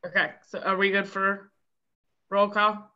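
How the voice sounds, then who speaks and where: A woman takes roll call in a video meeting, her voice bright and forward. She reads each name briskly in turn. The call audio is dull and lo-fi. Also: medium pitch, flat delivery.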